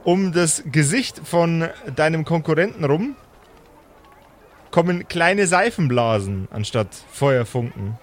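A man's voice: a little high, expressive, slow, noisy background. A man narrating an improvised tabletop game as game master, with a theatrical storytelling manner.

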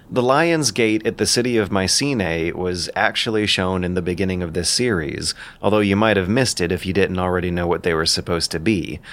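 A man narrating scripted explanatory commentary in a measured, lightly informal way. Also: lowish voice, a bit bright.

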